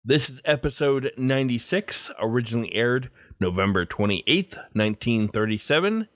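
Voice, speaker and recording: Lively male podcast host, swooping pitch. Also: normal speed, no echo. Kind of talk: scripted introduction.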